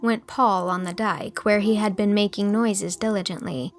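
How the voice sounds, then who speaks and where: A woman's voice: medium pitch, steady tone, moderate pace. A woman reading scripted storybook narration as the narrator of an audiobook.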